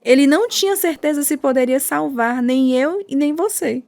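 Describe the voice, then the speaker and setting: High-voiced devout, loving mother. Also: lively intonation, normal speed, gravelly, darkish tone, dry recording. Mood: tender, solemn. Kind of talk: scripted radio drama dialogue.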